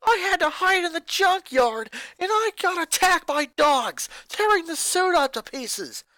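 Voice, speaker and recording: A woman voicing an angry, resentful young boy who rants and shouts at his family. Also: very high-pitched, sing-song, unhurried, dry recording, voice pushed up, full character voice. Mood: furious. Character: furious boy, eleven-year-old, bitter and resentful.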